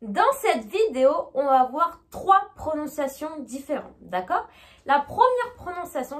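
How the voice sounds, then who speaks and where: High-voiced French woman teaching. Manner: chatty, keeps asking "d'accord?". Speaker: English teacher. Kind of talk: online lesson to camera.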